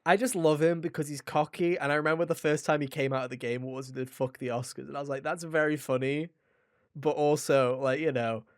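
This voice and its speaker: A man's voice: a little high, steady tone, a little fast, dry recording. Man chatting casually, close dry sound. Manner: enthusiastic, informal, swears. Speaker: podcast co-host. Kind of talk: casual video game podcast chat.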